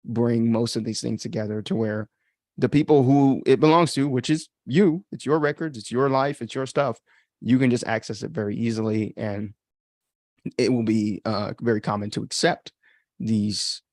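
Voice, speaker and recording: A man's voice: medium pitch, steady tone, normal speed, a little mellow, dry recording. Casual man commenting, very dry close sound. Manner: informal, rambling, mild swearing, frequent "like" and "right?". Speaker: podcast host and author commenting on his own story.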